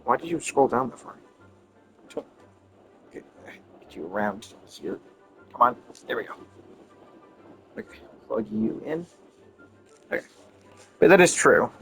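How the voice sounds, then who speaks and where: A man's voice: mid-range voice, lively intonation, measured pace, gravelly, long pauses, music in the background. A man giving casual, off-the-cuff gameplay commentary, rambling and thinking aloud as a streamer. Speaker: game streamer.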